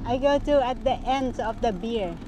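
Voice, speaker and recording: A woman's voice: a little high, moderate pace, lo-fi sound. A woman vlogging while cycling, giving short running remarks in simple, broken English, recorded on a handlebar action camera outdoors. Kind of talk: casual running commentary while riding. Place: outdoors on a bike along roads and a fishing pier. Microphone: action camera mounted on handlebars. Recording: loud wind and traffic noise outdoors.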